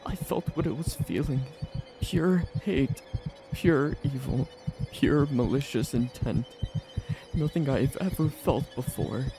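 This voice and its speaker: A man narrating a first-person horror story in a tense, dramatic manner with theatrical pauses. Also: medium pitch, gravelly, over music, poor audio.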